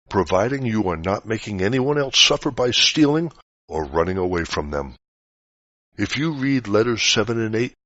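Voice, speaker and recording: A man reading a scripted spiritual teaching aloud in a measured, didactic way. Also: on the low side, a bit bright. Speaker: reader of spiritual articles. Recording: dull, roomy lo-fi recording.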